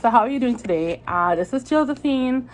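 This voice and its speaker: A woman's voice: a little high, lively intonation, a little fast, low-quality recording. A woman with a Trinidadian accent chatting casually to her viewers, rambling a little with fillers and restarts. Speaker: vlogger. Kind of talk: casual chat to camera.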